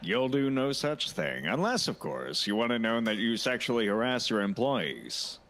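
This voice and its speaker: A man playing a very tall, boastful detective and sausage artist in a comedic audio drama, speaking formally and deadpan. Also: medium pitch, normal speed. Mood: probing, firm.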